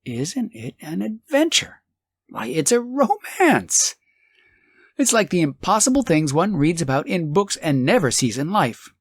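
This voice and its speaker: A man playing a poor old working husband in an audiobook story, excitable and emphatic. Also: high voice, measured pace, husky, dry recording, pitched above the performer's own voice, heavily acted. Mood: amazed.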